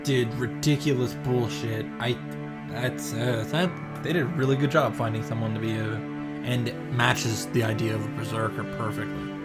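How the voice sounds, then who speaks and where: A man's voice: medium pitch, fairly even intonation, normal speed. A man hosting a game reaction, reading visual novel text aloud and adding informal, sweary commentary. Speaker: video game reaction host. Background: game music.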